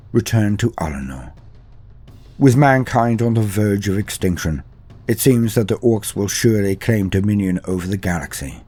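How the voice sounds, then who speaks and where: A man giving a measured, dramatic scripted narration of a fictional history. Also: on the low side, warm tone, slightly rough. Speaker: lore narrator.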